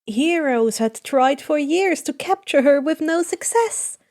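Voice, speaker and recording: A woman narrates a piece of fan fiction. Her voice is high in pitch, and she reads the scripted prose as a storyteller. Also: animated, unhurried, a little mellow.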